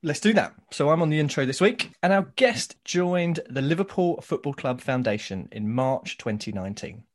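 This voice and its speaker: A man's voice: fairly high pitch, expressive, moderate pace, few pauses. A man from South East London hosting a podcast, telling wry stories and reading out a guest introduction. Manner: easygoing, wry storytelling. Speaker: podcast host. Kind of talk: casual chat and read introduction.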